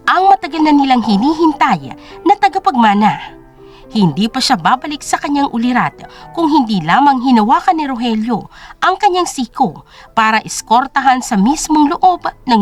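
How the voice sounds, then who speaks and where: A woman narrator reading a scripted story in a dramatic storytelling style. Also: high-pitched.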